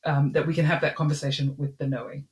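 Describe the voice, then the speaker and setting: Chatty woman in an echoey room. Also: sits low. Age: middle-aged. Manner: animated, chatty, quick to laugh, many restarts. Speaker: podcast co-host. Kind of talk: casual chat. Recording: roomy, echoey sound.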